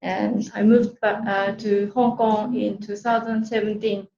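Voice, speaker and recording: A woman with a Japanese accent presents an online workshop at a slow, halting pace. Her voice has some creak in it, and she often searches for words. The recording carries a roomy echo. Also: mid-range voice, little pitch movement, mellow, dull audio. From Tokyo, Japan. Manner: hesitant, searching for words in a second language. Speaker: workshop speaker. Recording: roomy echo, clear background noise.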